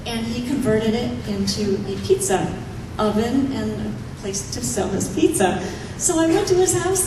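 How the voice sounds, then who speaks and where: A woman with a low voice, chatting through anecdotes to an audience in a room and laughing often as she talks. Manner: chatty, laughs often while telling stories. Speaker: newspaper writer giving a talk. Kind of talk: casual anecdotal speech to an audience. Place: event room. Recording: echoey room, from a distance, noisy.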